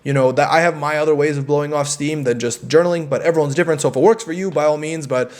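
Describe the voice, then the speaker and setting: A man's voice: a little high, steady tone, quick pace. A young man vlogging, giving casual self-improvement advice in an emphatic way. He often repeats words and adds "right?".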